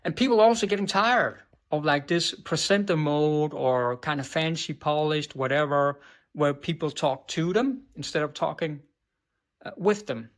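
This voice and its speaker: A man with a Danish accent coaches on business in a blunt, profane monologue, his voice pitched a little high. He tacks "right?" onto his points and trips over words, then just keeps going. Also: normal speed. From Denmark. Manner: stumbles and restarts words, unbothered. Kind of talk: direct-to-camera monologue.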